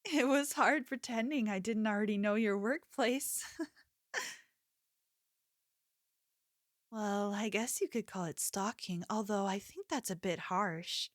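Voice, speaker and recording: A woman's voice: mid-range voice, measured pace, no echo, clean studio sound, lightly acted. A woman playing an obsessive, possessive young yandere in an ASMR roleplay, speaking intimately and coaxingly, like a lover with menace underneath. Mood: confessional.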